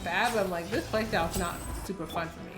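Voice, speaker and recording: A woman's voice: fairly low pitch, fast talker. A woman livestreaming a video game with casual, slangy, blunt and sassy commentary. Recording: roomy sound, loud game music. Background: video game music and dialogue.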